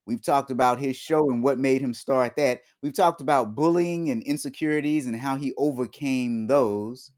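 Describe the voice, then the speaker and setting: A man's voice: mid-range voice, normal speed, hardly any pauses, a little mellow. A man hosting a live podcast, joking casually with his guest and reading out viewer questions. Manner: joking, conversational. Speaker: podcast host. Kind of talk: casual live-stream chat.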